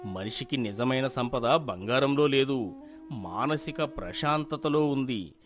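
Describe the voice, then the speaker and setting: A man acting a wise, expressive village teacher. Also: mid-range voice, soft background music, lightly acted. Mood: calm, counselling. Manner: measured, admonishing, counselling. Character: elderly respected village teacher, wise, concerned, gently chiding. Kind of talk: acted moral-story dialogue.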